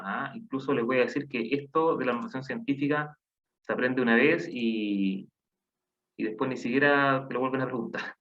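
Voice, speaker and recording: A man's voice: medium pitch, bright, forceful tone. A man teaching a math class online, patiently counting aloud and asking the class questions. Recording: dull lo-fi online class audio.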